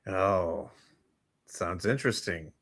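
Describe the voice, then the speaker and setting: A man with a fairly low but bright, forceful voice chats casually as a show co-host. He rambles and stumbles over repeated words. The recording is dry. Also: takes it slowly, no echo. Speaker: co-host of a geek culture morning chat show.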